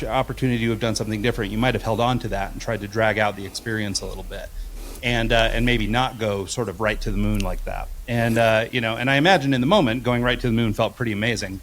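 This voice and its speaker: A man hosts the conversation at a brisk clip and mid-range pitch. His long questions wander and hedge before they land, with a faint hiss under the voice. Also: rough recording. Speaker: podcast host. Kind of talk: casual interview questions.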